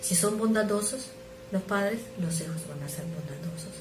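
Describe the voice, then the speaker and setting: A middle-aged woman who is not a native speaker, preaching an earnest, measured sermon that sometimes drops to soft asides. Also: mid-range voice. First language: not Spanish. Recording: echoey room with noise.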